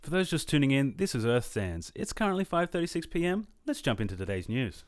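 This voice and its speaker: A man's voice: mid-range voice, very animated, a little fast, lightly acted. A man playing a genial radio host and Earth enthusiast in a science fiction audio drama. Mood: presenting.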